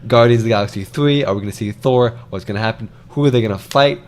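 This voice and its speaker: A young man in an unscripted review chat, with a mid-pitched voice. He is excitable and joking, and likes to fire off rhetorical questions as he talks with his co-host. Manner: excitable, jokey, poses rapid rhetorical questions. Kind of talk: casual unscripted movie chat.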